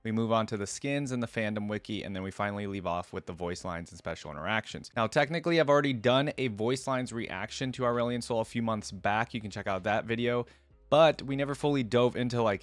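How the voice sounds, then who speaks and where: Male reaction host, lower register, dry recording, casual asides between read-aloud passages. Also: brisk, no room sound. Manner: informal, rambling asides. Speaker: host of a game lore reaction channel. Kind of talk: casual reaction chat mixed with reading aloud.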